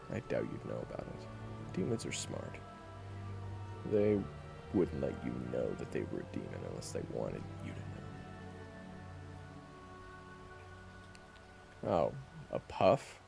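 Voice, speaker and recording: A man playing a sardonic, guarded monster hunter in a fantasy audio roleplay, with a gravelly voice and a dry, teasing, bluntly dismissive manner. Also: rumbling low, full character voice. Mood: dry, exasperated. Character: sardonic, guarded young male monster hunter, begrudgingly chatty. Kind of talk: fantasy audio roleplay acting, addressed to the listener.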